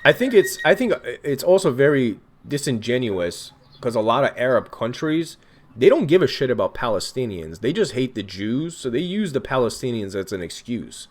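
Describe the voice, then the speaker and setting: A man's voice: medium pitch, moderate pace. A man from Iran hosting casual online chats, talking bluntly with lots of swearing. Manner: blunt, profane, animated. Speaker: host of online video chats. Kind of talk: casual debate chat.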